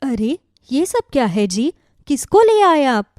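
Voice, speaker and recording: A woman voicing a worried, practical village wife in an animated moral story, earnest and questioning. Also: high-pitched, takes it slowly, no room sound, clean studio sound, exaggerated voice. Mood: puzzled, demanding.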